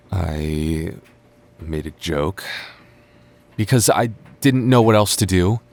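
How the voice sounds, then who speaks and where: A deep-voiced young man, played by a male voice actor in an intimate audio roleplay. He is a defensive, teasing childhood friend hiding his affection. His delivery is halting and stammering, quick to bicker yet secretly smitten. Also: a little mellow, heavily acted. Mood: agitated, pleading. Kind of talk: intimate boyfriend ASMR roleplay acting.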